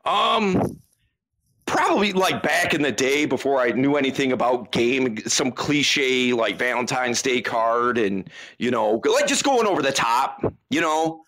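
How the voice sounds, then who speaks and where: Guy guest on a podcast, bright punchy voice, kinda emphatic, says man a lot. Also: medium pitch, lively intonation, a little slow. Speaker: podcast guest, dating coach. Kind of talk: casual interview chat.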